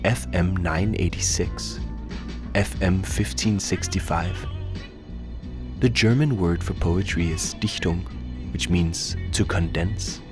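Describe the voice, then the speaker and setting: A man from Denmark, a native Danish speaker, giving calm, reflective narration for a radio documentary. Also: deep voice, takes it slowly, over music.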